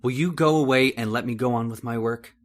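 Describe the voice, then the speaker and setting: An actor playing a cold, condescending middle-aged scientist husband in an old-time radio horror drama. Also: male voice, medium pitch, a little fast, natural voice. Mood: scornful, impatient. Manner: condescending, stern, impatient.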